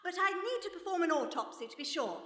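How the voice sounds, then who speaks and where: A woman playing a brisk, unflappable 1920s lady investigator in a radio play, with a crisp, composed English manner of speaking. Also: very high-pitched, big pitch swings, a bit bright, exaggerated voice. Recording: echoey hall, live stage recording.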